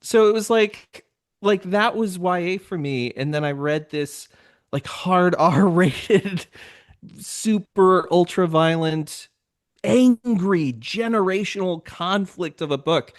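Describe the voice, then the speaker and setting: A man's voice: sits high, takes it slowly. A man, a podcast host, gushing with enthusiasm on a video-call panel. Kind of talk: casual panel conversation.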